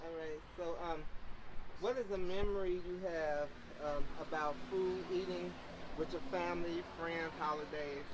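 A woman's voice: lowish voice, fairly even intonation, a little slow. A woman interviewing someone outdoors, asking friendly, loosely phrased questions with plenty of fillers. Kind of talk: casual street interview. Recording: noisy outdoor recording, mic off-axis.

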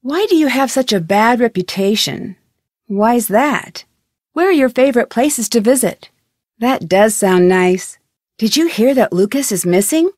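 Curious young woman detective, fairly high voice. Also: expressive, a little slow, darkish tone, no echo, studio quality. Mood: inquisitive. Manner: inquisitive, polite, clearly enunciated. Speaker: game protagonist. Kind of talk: scripted video game dialogue.

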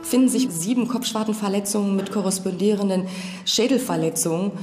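A woman's voice: mid-range voice, fairly quick, darkish tone, faint music behind. A woman giving a formal, precise technical explanation as a forensic expert in a documentary interview. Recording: echoey room with slight background noise.

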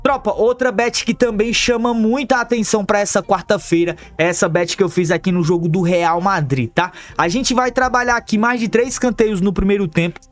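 A man's voice: sits high, steady tone, a little fast, few pauses, soft background music. A man with a Brazilian Portuguese accent, a football betting tipster talking viewers through his picks in an upbeat, chummy way. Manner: upbeat, chummy, calls viewers "rapaziada" and "família". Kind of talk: scripted tips over screen recording. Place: voice-over for a screen recording.

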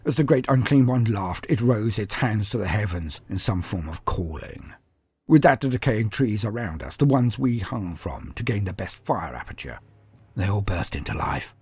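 A man's voice: on the low side, animated, normal speed, a little mellow, husky, dry recording, soft background music. A man voicing a grim armoured space marine who recounts battle in a solemn, martial, disdainful storytelling manner. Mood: grave, intense. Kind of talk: first-person dramatic storytelling.

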